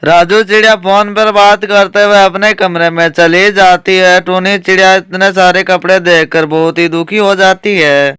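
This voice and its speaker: A woman's voice: on the low side, steady tone, normal speed, few pauses, fairly bright tone, clear, resonant tone. A woman narrating a scripted children's cartoon story.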